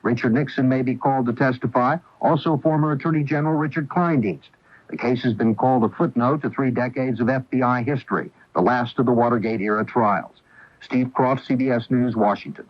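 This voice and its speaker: A man's voice: mid-range voice, fairly quick, no echo. A man delivering a scripted news report as a correspondent, formal and factual, on an old AM radio recording. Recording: dull lo-fi 1980 AM radio.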